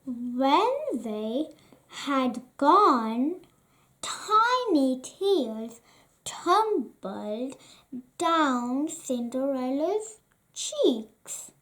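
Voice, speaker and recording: Slow, sing-song bedtime storyteller woman. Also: sits high. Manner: slow, expressive, sing-song read-aloud delivery. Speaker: storybook reader. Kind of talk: reading a picture book aloud at bedtime.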